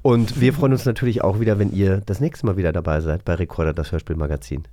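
A man's voice: low-pitched, steady tone, fast talker, dark tone, no room sound. A man co-hosting a podcast, chatting casually in a studio. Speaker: podcast co-host. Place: dry studio.

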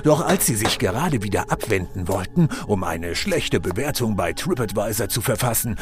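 Deep-voiced man narrating with lively suspense. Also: moderate pace, music in the background. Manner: suspenseful storytelling. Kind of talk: scripted fairy-tale audio drama narration.

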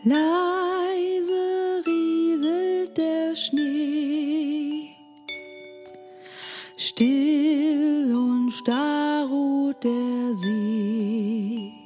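A woman singing Christmas songs slowly, like a lullaby. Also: high voice, fairly even intonation, over music. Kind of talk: slow a cappella style Christmas singing.

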